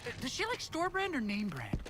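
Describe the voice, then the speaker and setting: An actress playing an eager, naive young geek in a video game, gushing and exclaiming. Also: female voice, sits high, huge pitch swings, fairly quick. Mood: eager, nervous. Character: excitable, eager young geeky collector, naive and helpful.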